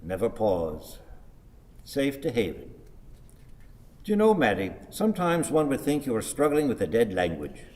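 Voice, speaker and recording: An actor playing a grumpy, long-winded old husband in a radio play, with ponderous, pedantic, theatrical delivery. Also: male voice, medium pitch, huge pitch swings, moderate pace, long pauses, a little mellow, long reverb tail. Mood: sardonic. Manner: declamatory, ponderous, wordy.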